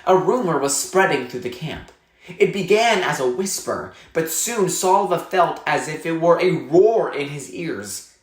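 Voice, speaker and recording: A man's voice: on the high side, lively intonation, a little slow. A man narrating a story in a scripted read-aloud style. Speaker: reader reading a novel aloud. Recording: echoey room.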